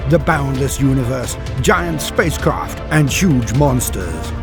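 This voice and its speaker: A man's voice: mid-range voice, lively intonation, slow pace, music in the background. A man narrating as a video host from a script, with formal, theatrical phrasing.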